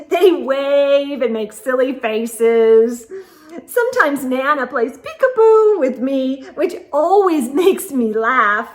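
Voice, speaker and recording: A young woman reading a children's picture book aloud, gentle and expressive, with soft hums and asides to young listeners. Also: high voice. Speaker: children's storyteller.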